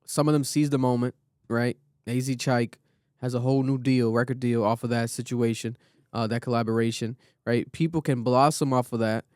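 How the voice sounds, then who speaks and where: A man in casual podcast discussion as a co-host, speaking in slang, haltingly, often checking in with short tag questions. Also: mid-range voice, fairly even intonation, a little mellow, clean tone, dry recording, clean studio sound.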